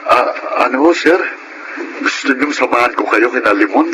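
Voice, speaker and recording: A Filipino man with a mid-pitched voice, an actor playing a dutiful young office helper. He is polite and careful in radio drama style, with heavy noise on the recording. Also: moderate pace, lightly acted.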